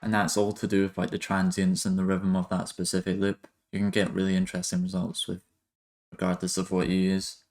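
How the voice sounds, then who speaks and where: A man's voice: low voice, monotone, fairly quick, darkish tone. A man narrating a music production tutorial in a casual, hesitant, improvised way.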